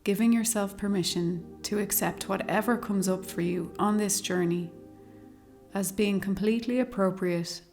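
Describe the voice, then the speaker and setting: A woman leading a guided meditation, speaking slowly and calmly in a soothing, measured way with long pauses. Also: mid-range voice. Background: meditation music with singing bowls.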